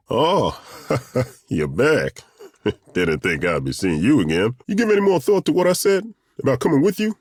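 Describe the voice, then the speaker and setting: An actor playing a burly, good-humoured ageing masseur turned adventurer in a video game, with a husky voice and a folksy, self-deprecating, joking way of talking. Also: male voice, fairly low pitch, dry recording, clean studio sound, full character voice. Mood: eager, friendly. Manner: folksy, joking, chuckling, drawn-out hesitations. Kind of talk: video game character dialogue.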